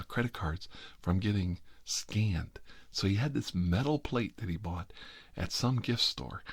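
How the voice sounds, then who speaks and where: A man's voice: on the low side, slightly rough, dry recording. A middle-aged man telling a rambling, humorous ASMR story with joking exasperation, acting out the dialogue as he goes.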